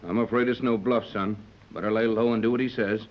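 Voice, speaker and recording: An actor playing a steady, fatherly sea captain who reasons things out, in 1930s radio serial style. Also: fairly low pitch, lightly acted. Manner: measured, reassuring, explanatory. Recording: dull old 1930s radio recording.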